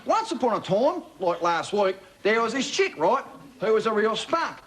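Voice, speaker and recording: A man's voice: high-pitched, big pitch swings, normal speed. A man playing a cheeky Australian bogan bloke with a broad accent, telling a comic bedtime story in a laid-back, slangy, matey way. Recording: TV studio with loud audience laughter, noisy.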